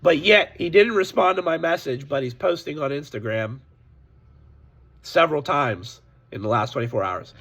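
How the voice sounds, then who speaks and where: A hoarse man talking candidly to his viewers in a casual vlog, complaining about a deal and warning them. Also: on the high side, moderate pace, fairly bright tone. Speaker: sports card seller. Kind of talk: casual direct-to-camera vlog.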